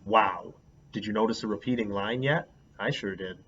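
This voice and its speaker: A man reading a picture book aloud as a teacher, a lively storyteller who stops to comment on the pictures. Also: on the low side, fairly quick, breathy edge, highs cut off.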